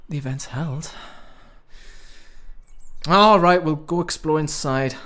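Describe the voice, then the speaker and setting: An actor playing a troubled, drifting man in a radio drama, a wry and self-deprecating romantic with a halting delivery. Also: a little high, a bit bright. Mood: wry, amused.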